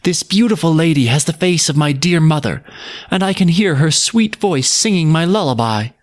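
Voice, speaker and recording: A man's voice: a little high, lively intonation, normal speed, pitched above the performer's own voice, lightly acted. A man voicing an innocent, trusting little girl in a storybook, full of wistful wonder. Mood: dreamy wonder.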